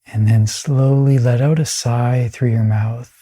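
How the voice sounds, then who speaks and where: A man leading a guided meditation in a calm, soothing way, with a soft, breathy voice and pauses between phrases. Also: mid-range voice.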